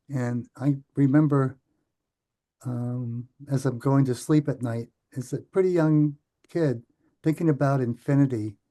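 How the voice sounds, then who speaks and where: Slow, halting older American man. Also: mid-range voice, dark tone. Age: 70s. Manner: halting, hesitant, thoughtful, trailing off. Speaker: podcast guest. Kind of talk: reflective interview recollection.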